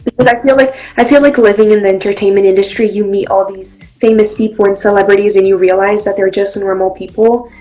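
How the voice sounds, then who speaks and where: A woman's voice: medium pitch, steady tone, fast talker, hardly any pauses, mellow, faint music behind, low-quality recording. A young woman from Brazil, whose first language is Portuguese, answering interview questions in a friendly, chatty way with many ums. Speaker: interview guest, actress and model.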